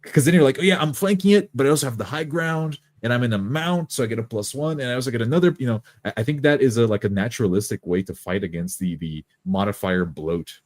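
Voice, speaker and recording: A man's voice: mid-range voice, fast talker, few pauses. A man who plays tabletop games, casually explaining his thoughts with examples and checking in as he goes. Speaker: tabletop player and game designer. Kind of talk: casual explanatory chat about roleplaying games.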